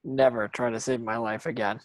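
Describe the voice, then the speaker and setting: A man's voice: medium pitch, steady tone, brisk, close to an everyday voice. A man playing a brave, polite, determined schoolboy in a drama read-through, speaking earnestly.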